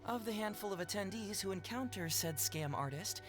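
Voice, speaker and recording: A clever young detective in a video game. He is articulate, confident and a little playful, with loud background music behind him. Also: high-pitched, animated, fairly quick. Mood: earnest. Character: young male detective, clever, confident, earnest about justice.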